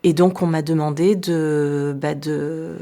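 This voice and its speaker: A woman's voice: deep voice, fairly even intonation, takes it slowly, mellow. A middle-aged woman born in France, with Arabic as her first language, speaking as a local elected official in a radio interview, hesitant with many fillers and restarts. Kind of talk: radio interview, recounting events.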